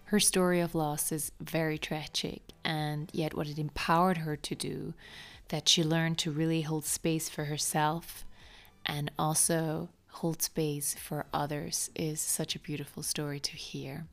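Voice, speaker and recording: A woman hosting an interview podcast, speaking in a soft, earnest way that turns breathy at times. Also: deep voice, level tone, a little slow. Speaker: podcast host.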